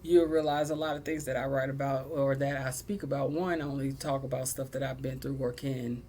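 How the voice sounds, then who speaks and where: A young adult woman hosting a casual sibling podcast, blunt and animated, cussing freely and laughing often. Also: extremely low pitch, a little fast, a little hiss or hum. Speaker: podcast host.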